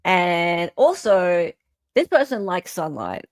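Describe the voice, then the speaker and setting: A woman's voice: on the low side, very animated, takes it slowly, no room sound. A woman with an Australian accent hosting a casual live chat, emphatic and given to repeating phrases for effect. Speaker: livestream host.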